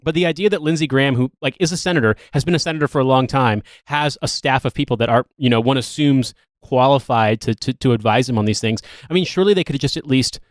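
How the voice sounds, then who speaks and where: A man's voice: medium pitch, fast talker, few pauses, dry recording, studio quality. A man hosting a podcast, talking casually about politics in a rambling, wry and sweary way. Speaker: podcast host. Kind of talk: casual, joking political discussion.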